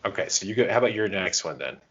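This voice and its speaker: Really deep voiced guy chatting casually on a podcast, kinda muffled sound. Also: animated, racing, fairly bright tone, breathy edge. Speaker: podcast co-host. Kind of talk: casual chat giving gaming advice. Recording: dull, muffled lo-fi podcast audio.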